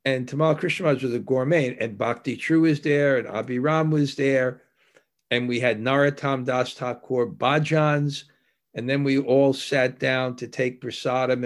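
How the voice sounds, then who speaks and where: A man giving an anecdotal spiritual discourse as a devotional teacher, mixing storytelling with scripture reading in a reflective, halting, conversational manner. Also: medium pitch.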